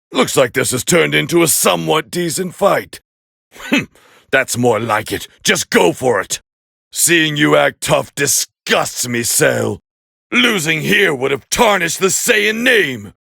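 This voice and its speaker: A man voicing a proud, scornful alien warrior prince as battle commentary in an anime fighting game, with a harsh, gravelly growl and a haughty, clipped delivery. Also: a little high, pushed and bright, no echo, studio quality, heavily acted. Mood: grudgingly impressed.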